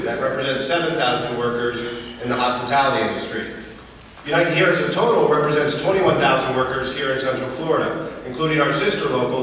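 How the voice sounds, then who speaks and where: A man's voice: medium pitch, a bit bright. A man in his thirties, a union president, delivering a prepared political speech from a podium in a large hotel ballroom. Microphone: podium mic heard from far camera. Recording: long hall reverb, distant, faint noise.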